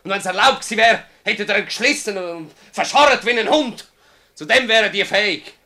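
Intense, bitter Swiss villager, sharp voice. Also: male voice, high-pitched, moderate pace. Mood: outraged, accusing. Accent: Swiss German. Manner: intense, brooding, swinging between hushed and loud. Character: anguished, accusing village man, righteous and bitter. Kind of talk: Swiss dialect radio drama acting.

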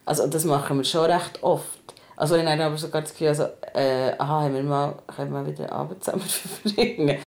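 A woman's voice: sits low, fairly even intonation, fast talker, few pauses, a little mellow, a little hiss or hum. A woman with a Swiss German accent talking candidly and casually, in colloquial words, as a podcast host. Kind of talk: casual personal conversation.

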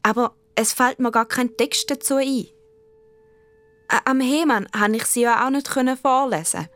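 Slow-paced young Swiss schoolchild played by a woman, musing. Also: medium pitch, lightly acted. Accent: Swiss German. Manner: musing, childlike, thinking aloud. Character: earnest, imaginative schoolchild narrating their forest adventure. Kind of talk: first-person radio play narration for children.

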